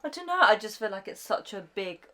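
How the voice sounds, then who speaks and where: A woman's voice: mid-range voice, very animated, fairly quick, sharp tone. A woman chatting casually as a reaction show co-host, feeling her way through loose, hesitant phrases.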